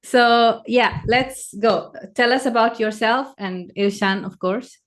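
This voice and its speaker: Higher-voiced woman hosting a podcast. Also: takes it slowly. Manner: brief, friendly prompts and replies. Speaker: podcast host. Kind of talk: casual podcast conversation.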